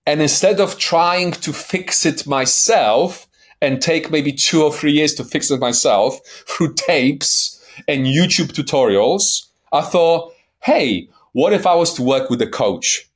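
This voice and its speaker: A man's voice: a little high, animated, slow, breathy edge. A man in his forties with a light Polish accent, a life coach talking as a podcast guest in a blunt, emphatic way, swearing for emphasis and often checking in with "right?". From Poland. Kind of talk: podcast interview.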